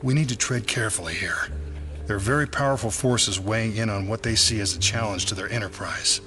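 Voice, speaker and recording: A man's voice: on the low side, brisk, over music, lightly acted. An actor playing a wary, stern senior male FBI official, grave and guarded, in an audio drama.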